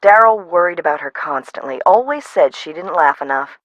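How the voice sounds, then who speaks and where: A woman's voice: on the low side, lively intonation, brisk, no echo, lo-fi sound. An actress voicing a frazzled, no-nonsense woman who runs an amusement park in a video game, conversational and dry. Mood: matter-of-fact, regretful. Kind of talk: scripted video game voice acting.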